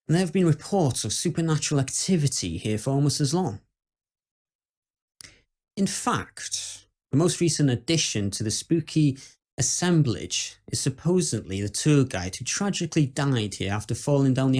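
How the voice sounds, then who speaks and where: A man's voice: fairly high pitch, a little mellow. A British man playing an uneasy presenter at a haunted house, in a suspenseful documentary-style ghost story.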